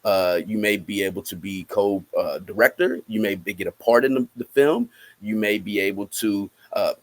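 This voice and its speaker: A man with a lowish voice speaks as an interview guest. He often repeats a word before carrying on, and he drops in "right?" at the ends of phrases. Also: normal speed. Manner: repeats words and says "right?" as a tag. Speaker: guest musician promoting a film project. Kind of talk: interview answers.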